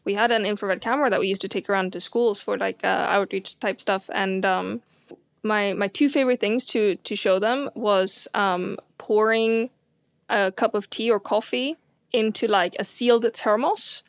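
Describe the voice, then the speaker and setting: A woman with a Swedish accent explains astronomy in an eager, rambling way, pausing on fillers and restarting her sentences. Her voice sits at an average pitch. The recording is close and dry, with no room sound. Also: steady tone, dry recording. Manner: enthusiastic, many fillers and restarts. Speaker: co-host, astronomer. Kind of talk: casual science chat.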